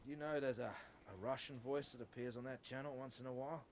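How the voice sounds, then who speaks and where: A man playing an injured, sardonic survivor with a gruff manner. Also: mid-range voice, fairly even intonation, brisk, slight background noise, close to an everyday voice. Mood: weary, bitter. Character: injured sardonic male survivor fond of whiskey.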